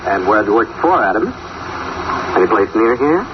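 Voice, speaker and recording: A man's voice: on the low side, big pitch swings, normal speed. An actor playing an edgy, scheming man, curt and evasive, in a 1940s radio drama style. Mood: guarded, businesslike. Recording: noisy dull vintage radio recording.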